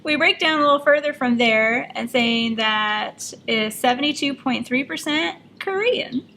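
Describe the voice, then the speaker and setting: A woman's voice: high voice, animated, measured pace, pushed and bright. A woman co-hosting a show, explaining things in an informal, conversational way. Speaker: show co-host. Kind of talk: casual chat, explaining results. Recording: noticeable background noise.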